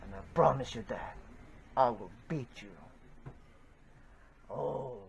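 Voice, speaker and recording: A man from the Philippines, a pro wrestler cutting a slow, deliberate, menacing promo against a rival. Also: medium pitch, creaky.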